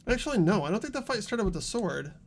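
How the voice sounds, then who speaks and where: A man's voice: high voice, sing-song, fast talker, exaggerated voice. A man voicing an earnest teenage boy in a fan-dubbed mystery game, reasoning things out aloud. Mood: questioning, insistent. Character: earnest teenage boy student working out a murder.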